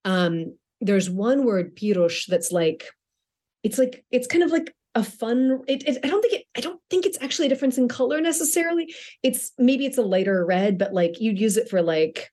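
A woman's voice: fairly high pitch, expressive, moderate pace, a little mellow. A middle-aged woman author answering interview questions in a chatty way, often restarting her sentences and laughing lightly.

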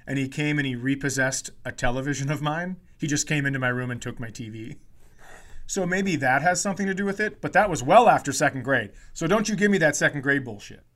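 A man's voice: mid-range voice, lively intonation, fairly quick, a bit bright. A man in his forties telling comic stories as a podcast host, in an exasperated, profane, ranting manner. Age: 40s. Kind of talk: comedic storytelling in casual chat.

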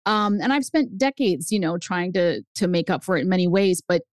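A woman in her fifties whose first language is Spanish, telling her family story as a guest author, animated and jumping up in pitch for emphasis. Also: a little fast, no echo, studio quality. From United States. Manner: animated, swings up high for emphasis. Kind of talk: personal storytelling in an interview.

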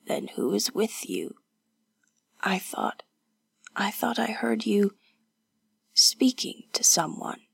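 A woman voicing a battered, distressed young woman in a hoarse, faint, halting whisper for an audiobook. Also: medium pitch, big pitch swings, no room sound, heavily acted. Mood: anxious, faint. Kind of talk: audiobook character voice.

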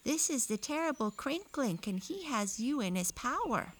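Woman as a high-voiced, grave little talking wolf. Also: sing-song, moderate pace, a little hiss or hum. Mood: grave warning. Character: small talking wolf's-head button, grave informant.

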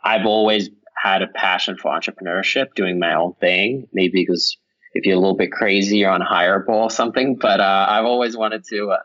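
A man's voice: low-pitched, fairly even intonation, fairly quick, a bit bright, highs cut off. A man from Finland, a company founder speaking as a guest in a casual interview. He rambles a little, with many fillers and restarts. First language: Finnish.

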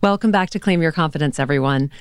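A woman hosting an interview show, talking with enthusiasm and warmth toward her guest. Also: low voice, fast talker, smooth, no room sound, clean studio sound. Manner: enthusiastic, effusive. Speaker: podcast host and auctioneer. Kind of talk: interview conversation. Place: studio.

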